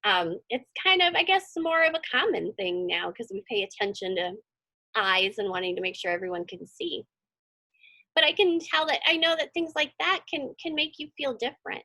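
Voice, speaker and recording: A woman's voice: sits high, sharp tone, low-quality recording. A woman reading a storybook aloud and chatting informally to children as their teacher, encouraging and often putting questions to her listeners. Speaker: teacher hosting a children's read-along.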